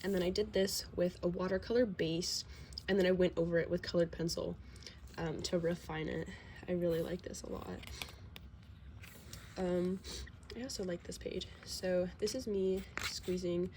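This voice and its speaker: A teenage girl with a slight cold and a slightly low voice, rambling casually with plenty of fillers and self-deprecating asides. She sniffles now and then, and a faint hum of noise sits under her voice. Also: fairly even intonation, normal speed, a little mellow. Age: teens. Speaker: vlogger. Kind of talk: casual sketchbook tour.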